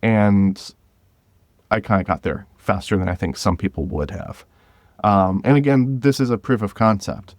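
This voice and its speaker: A man's voice: fairly low pitch, no room sound. A man talking casually as an interview guest, thinking aloud and correcting himself as he goes. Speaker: guest, independent audio drama creator.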